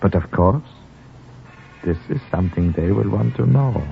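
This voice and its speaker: An actor playing a jealous, menacing German spy in a 1950s radio drama, intense and swinging between coaxing and threat. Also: male voice, on the low side, expressive, moderate pace, vocal fry. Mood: pleased, calculating. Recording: muffled 1950 radio recording with music.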